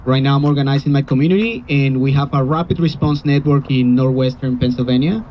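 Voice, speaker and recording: A man's voice: medium pitch, fast. A man from Colombia with a Colombian accent and Spanish as his first language, giving an informal, personable speech as a community organizer. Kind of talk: informal public rally speech. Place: outdoor rally near a road. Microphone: handed-around PA microphone. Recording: dull lo-fi audio, faint vehicle noise.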